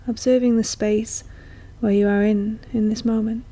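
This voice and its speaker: A woman guiding a meditation in a soft, breathy, often near-whispered voice, speaking gently and slowly with long, soothing pauses. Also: on the high side, flat delivery. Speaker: guided meditation teacher. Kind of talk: slow guided meditation narration.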